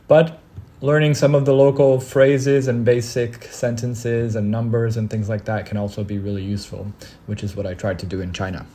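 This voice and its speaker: A man's voice: medium pitch, flat delivery, moderate pace, mellow. Brazilian man telling a travel story. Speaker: guest sharing a story. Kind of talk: recorded personal story.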